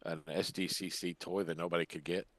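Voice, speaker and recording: Lowish-voiced man in his fifties. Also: level tone, brisk, no room sound. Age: 50s. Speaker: podcast co-host. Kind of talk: casual chat.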